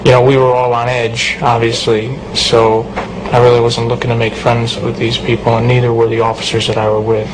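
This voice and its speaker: A man's voice: mid-range voice, monotone, fairly quick. A man, a police officer, giving a plain conversational interview account of events. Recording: very noisy interview recording.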